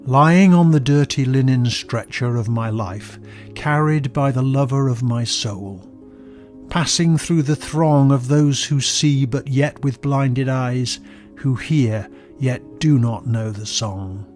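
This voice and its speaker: A man reading a scripted story and poetry in a measured, dramatic, reverent way, as a narrator. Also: medium pitch, darkish tone, soft background music. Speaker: narrator and poet reading own work.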